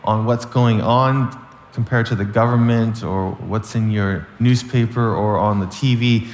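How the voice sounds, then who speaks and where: A man with a raspy, hoarse voice from a cold, earnestly teaching a sermon, often restarting phrases and asking "right?". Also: medium pitch, reverberant, long reverb tail, a little hiss or hum. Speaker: preacher. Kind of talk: sermon-style Bible teaching.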